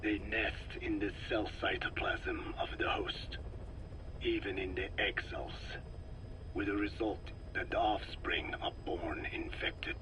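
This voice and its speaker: An actor playing a frail, grave old scientist in a video game, speaking in slow, formal, halting phrases with a breathy rasp. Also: male voice, fairly low pitch, level tone, noisy background. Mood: patient lecturing. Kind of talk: dramatic video game dialogue.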